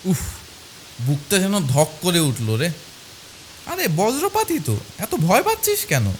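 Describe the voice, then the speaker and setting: An actor voicing uneasy young friends in dramatised dialogue for an animated horror story. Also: medium pitch, unhurried, a little hiss or hum, low-quality recording. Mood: startled. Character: two young male friends, frightened and uneasy.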